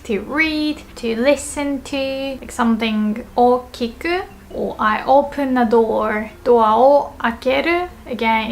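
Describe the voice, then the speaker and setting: A woman's voice: a little high, gravelly, slight background noise. A woman with a Japanese accent, a native Japanese speaker, giving a casual language lesson and patiently repeating example sentences.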